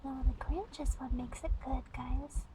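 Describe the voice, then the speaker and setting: A woman with a high voice whispers breathily as she chats in a casual ASMR style. She is playful and self-deprecating. Ambient noise is clearly audible behind her. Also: fairly even intonation, unhurried. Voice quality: whispery, breathy soft speech. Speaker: ASMR streamer. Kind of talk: ASMR, casual chat with listeners.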